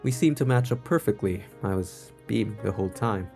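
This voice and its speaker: A man's voice: lowish voice, animated, fairly quick, gravelly, mellow, faint music behind. A man streaming a visual novel, reading the narration aloud expressively with playful interjections. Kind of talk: expressive read-aloud narration with live reactions.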